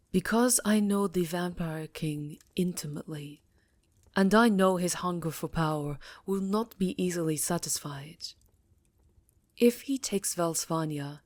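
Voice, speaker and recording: Actress as vampire countess: low register, unhurried, imperious and secretive, breathy ASMR intimacy. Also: female voice, darkish tone, dry recording, natural voice. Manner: measured, dramatic, breathy delivery dipping into whispers. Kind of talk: intimate ASMR roleplay monologue.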